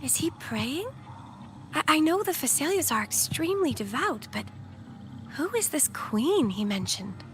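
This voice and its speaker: A woman's voice: high voice, big pitch swings, a little slow, noisy background. An actress playing an earnest, polite and devout young female acolyte in a video game. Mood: puzzled. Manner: earnest, courteous.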